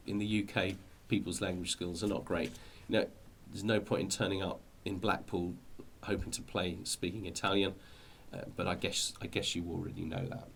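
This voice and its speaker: Middle aged british guy, lowish voice, chatting to viewers, kinda rambly and hesitant, repeats words. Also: creaky, a little hiss or hum. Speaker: hobby video host. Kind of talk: informal explanatory talk to viewers.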